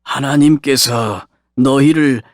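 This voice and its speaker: A man as a slow, solemn dying old patriarch. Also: mid-range voice, no echo, clean studio sound, lightly acted. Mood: gentle, resolute. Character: aged dying patriarch, solemn father blessing his sons. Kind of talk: dramatized scripture audiobook acting.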